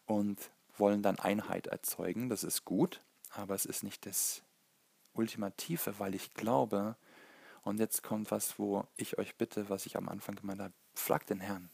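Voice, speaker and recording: A man from Germany, preaching in an earnest, halting way as he searches for words. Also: on the low side, gravelly, darkish tone, husky, no echo. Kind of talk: sermon, Bible teaching.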